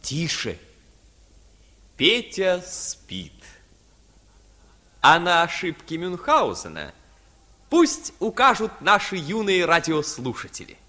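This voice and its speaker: An actor playing a genteel captain in a mid-century radio play, speaking in a deliberate, theatrical way. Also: male voice, sits high, huge pitch swings, pushed and bright, heavily acted. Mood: hushing, courteous.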